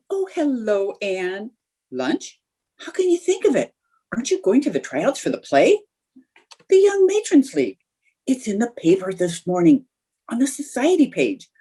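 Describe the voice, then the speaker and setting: A woman playing a scheming, excitable young society housewife in a theatrical radio sitcom style. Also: a little high, husky. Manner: coaxing, theatrical. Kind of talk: old-time radio sitcom acting.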